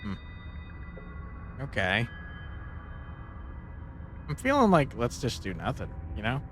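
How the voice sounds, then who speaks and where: A man's voice: medium pitch, huge pitch swings, slow pace, a bit bright, soft background music. A man giving laid-back, casual playthrough commentary as a game streamer, with joking asides.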